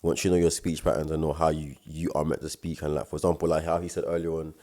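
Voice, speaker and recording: Young South London man, very low and mellow voice, fast slangy co-host who stammers and repeats. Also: little pitch movement. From South London, England. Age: young adult. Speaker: podcast co-host. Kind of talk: casual chat.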